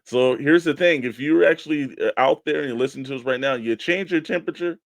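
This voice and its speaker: A man's voice: mid-range voice, fast talker, dry recording. Male American co-host, casual chatty banter, mid-range voice, dry close recording. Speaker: co-host of a toy collecting show. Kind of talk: casual banter, sponsor reads and mock weather report.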